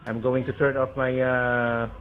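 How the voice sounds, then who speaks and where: Filipino-accented male, slow hesitant casual radio talk, phone-like sound with some music behind. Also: medium pitch, little pitch movement, a little hiss or hum. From Philippines. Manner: hesitant, repeats words. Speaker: amateur radio net participant passing turns.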